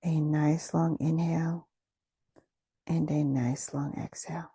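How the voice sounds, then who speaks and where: Woman, low mellow voice, husky with some creak, soothing guided meditation, gentle pauses. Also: level tone, no room sound, studio quality. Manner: soothing, unhurried phrasing with pauses.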